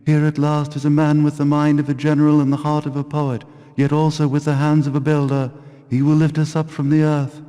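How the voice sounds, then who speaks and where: An actor playing a devout religious zealot in a video game, reciting scripture in a solemn, measured, preaching manner. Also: male voice, on the high side, completely flat delivery, dark tone, clear, resonant tone, lightly acted. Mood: reverent. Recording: reverberant hall-like echo.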